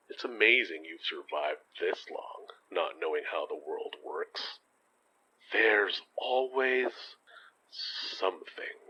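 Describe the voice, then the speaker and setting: An actor playing a cryptic, self-serving old wizard in an audio horror drama, with a theatrical, condescending drawl. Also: male voice, sits low, sharp tone, dull audio, a little hiss or hum, full character voice. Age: older.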